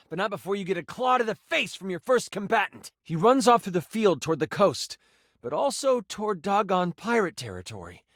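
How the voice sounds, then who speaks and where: A high-voiced, theatrical game master narrates fantasy combat for a video game. His delivery is animated and dramatic, savoring key words, recorded dry and close. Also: no room sound, full character voice. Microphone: close studio mic.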